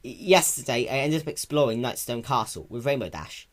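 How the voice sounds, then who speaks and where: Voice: medium pitch, expressive, fairly quick, natural voice. A performer voicing a young boy baby dragon in a cartoon-based story reading, anxious, protective and pleading, with a stammer. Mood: hesitant, tearful.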